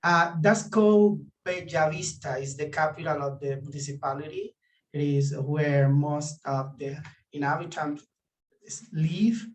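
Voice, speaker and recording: A man with a Colombian accent, a guest speaker on a video call, talking in a halting way with many fillers. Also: fairly high pitch, a little hiss or hum. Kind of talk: casual conversational explanation. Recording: distant mic on a video call.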